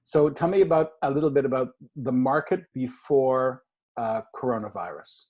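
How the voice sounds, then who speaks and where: A man's voice: mid-range voice, normal speed, a little mellow, muffled recording. A man from Canada hosting a casual video call interview, upbeat and loose with many fillers. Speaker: host.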